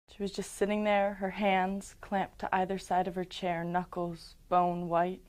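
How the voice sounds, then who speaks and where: A woman's voice: fairly low pitch, natural voice. A young woman acting a haunted, guilt-ridden teenage survivor. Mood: haunted, tense. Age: late teens. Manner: halting, intense, pausing. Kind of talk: dramatic stage monologue.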